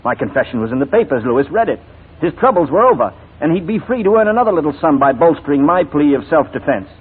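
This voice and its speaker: An intense, persuasive gentleman in 1940s radio drama. He talks quickly and pointedly, with animated pitch. The broadcast is thin and phone-like. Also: slightly rough. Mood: earnest explaining. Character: clever, intense titled gentleman, wrongly suspected, coolly persuasive.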